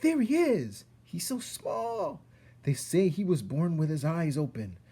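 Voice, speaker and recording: A man voicing excitable, hostile mice from an angry mob, crying out shrilly in a storybook. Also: fairly high pitch, measured pace, slightly rough, voice pushed up, full character voice. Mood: excited.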